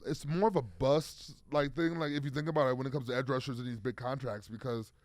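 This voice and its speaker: A man's voice: mid-range voice, steady tone, fast talker, darkish tone, no echo. A man in his thirties, a football player guest, talking casually in an interview with lots of fillers and restarts. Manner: rambling, many fillers and restarts.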